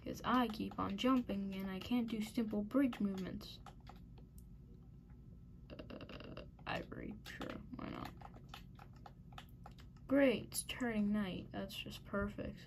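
A woman giving casual commentary while playing a game, muttering and mocking herself in frustration, a little raspy at times. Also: medium pitch, slow, pauses often, slight background noise. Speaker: gaming channel host.

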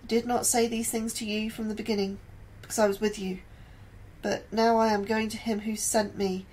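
A woman's voice: mid-range voice, little pitch movement, moderate pace, darkish tone, lightly acted, poor audio. A woman playing an anxious, guilt-ridden astrobiologist in a sci-fi horror audio drama. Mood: reciting scripture. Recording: clear background noise and ambience.

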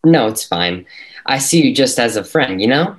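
A man's voice: medium pitch, lively intonation, moderate pace, natural voice. A young man playing an awkward, insistent teenage schoolboy at a script read over a video call. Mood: casual, teasing. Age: young adult. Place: room at home.

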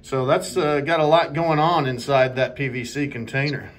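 A man's voice: mid-range voice, steady tone, moderate pace. A man giving an informal video tour of a product, explaining what is being shown. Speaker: product presenter.

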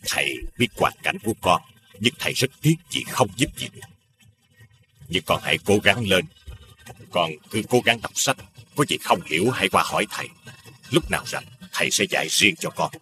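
A man voicing a kind, principled village scholar in an animated folktale, earnest and instructive in manner. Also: fairly low pitch, moderate pace. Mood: gentle.

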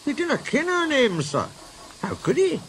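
A man's voice: extremely high pitch, huge pitch swings, normal speed, a bit bright, voice pushed up, heavily acted. A man voicing a sly, mock-innocent twin steam engine with a Scottish accent in a children's story. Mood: cheeky.

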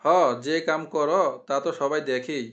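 Male actor as cartoon village uncle, outspoken, blunt, plain-spoken, moderately high pitch. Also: fairly even intonation, normal speed, fairly bright tone, muffled recording, close to an everyday voice. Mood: accusing. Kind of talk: cartoon dialogue.

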